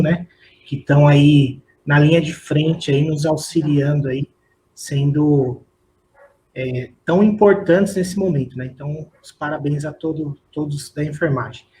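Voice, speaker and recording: A man's voice: on the high side, steady tone, normal speed, dark tone, slight background noise, low-quality recording. A man with a Brazilian accent, co-hosting a live interview in a loose, rambling way full of filler words. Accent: Brazilian Portuguese. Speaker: co-host.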